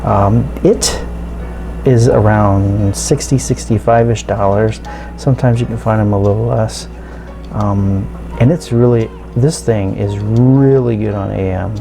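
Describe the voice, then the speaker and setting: A man giving a casual, unscripted product review as a hobbyist, hesitant and halting as he thinks aloud. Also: on the low side, fairly even intonation, vocal fry, over music.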